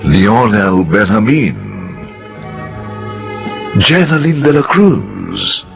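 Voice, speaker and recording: Deep, gravelly male radio announcer. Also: fairly bright tone. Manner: dramatic, measured delivery. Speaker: radio drama host and announcer. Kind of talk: formal radio announcing, reading credits.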